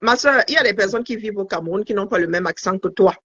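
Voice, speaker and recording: A man's voice: high voice, fast, bright, forceful tone, husky. A man hosting a live-stream panel, teasing and talking over his guest, trailing off into fillers, on lo-fi phone audio.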